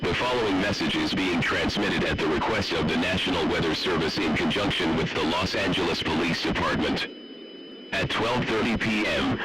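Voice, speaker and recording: A man's voice: low-pitched, poor audio. A man delivering a scripted emergency alert in a formal, official manner.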